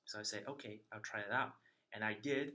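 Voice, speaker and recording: A young man from China telling a travel story in casual chat, chatty and halting with many fillers. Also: lowish voice, bright, forceful tone. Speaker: interviewee sharing a travel story.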